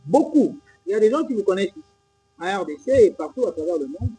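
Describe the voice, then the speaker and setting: High, lively male interviewer. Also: quick pace, breathy edge, rough recording. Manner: probing, insistent questioning. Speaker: TV host, interviewer.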